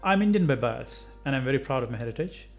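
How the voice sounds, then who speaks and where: A man's voice: mid-range voice, animated, fast, soft background music. A man speaking in a composed, sincere way in a corporate testimonial.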